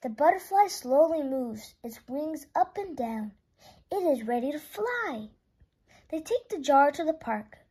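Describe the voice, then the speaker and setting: A woman with a high, lively voice reads a picture book aloud. Her delivery is expressive and swings up and down, with some words drawn out for little kids. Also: measured pace. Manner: expressive, stretches words for young listeners. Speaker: children's book reader.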